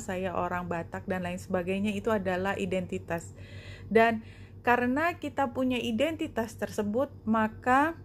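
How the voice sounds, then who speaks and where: A woman giving a spoken card reading to viewers, explaining reflectively in short phrases with pauses. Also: a little high, a little hiss or hum. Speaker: tarot and oracle card reader.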